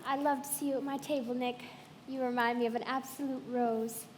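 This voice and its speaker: Casting: actress as affected, gushing rich young wife, radio play, extremely high voice, echoey noisy hall. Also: female voice, level tone, a little fast, natural voice. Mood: affected, playful. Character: breathless, theatrical, languid young socialite wife. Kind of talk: live radio play acting. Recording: echoey hall with faint noise.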